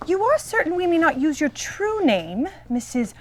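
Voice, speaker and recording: An actress playing a sweet, trusting young Victorian fiancee in a stage play, with an earnest, deferential manner. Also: female voice, very high-pitched, big pitch swings, moderate pace. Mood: hesitant. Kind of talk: stage play acting, period dialogue. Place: theater stage before an audience.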